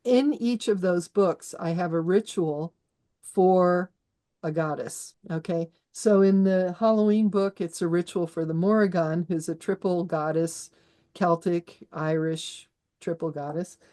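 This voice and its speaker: Mellow-voiced woman guest, chatty and lowish. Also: unhurried. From Austria. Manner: chatty, many restarts, laughs while explaining. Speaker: author and herbalist guest. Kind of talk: conversational interview.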